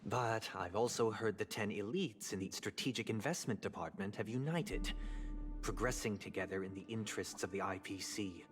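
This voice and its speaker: An actor playing a suave, calculating family leader in a video game, speaking formally and softly with menacing politeness. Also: male voice, lowish voice, faint music behind, close to an everyday voice. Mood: cool, probing. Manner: formal, measured, deliberate diction.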